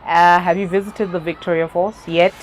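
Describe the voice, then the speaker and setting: Woman interviewer, host from Zimbabwe, lively and encouraging, faint hiss behind. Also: fairly low pitch, low-quality recording. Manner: lively, encouraging, quick to laugh. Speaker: talk show host. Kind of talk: casual interview. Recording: faint background noise.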